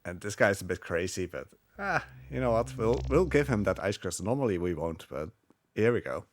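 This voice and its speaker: A man giving casual live commentary as a speedrunner, talking in a rambling, halting way. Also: lowish voice, sing-song, no echo, studio quality. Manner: rambling, halting, chuckling asides. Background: game music.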